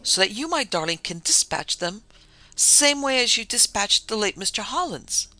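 Storybook scheming mother, played by a man: coy, doting, trilling, higher-pitched with a creaky edge. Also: big pitch swings, normal speed, bright, forceful tone, dry recording, full character voice. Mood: cooing, coaxing. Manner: cooing, trilling, coaxing. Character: coquettish, scheming, doting mother luring men to doom. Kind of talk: dramatised storytelling.

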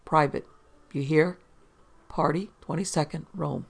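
A man's voice: fairly high pitch, steady tone, unhurried, gravelly. A man voicing a hard-boiled, impatient private investigator with curt, snapping demands in a pulp detective audiobook. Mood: exasperated, insistent.

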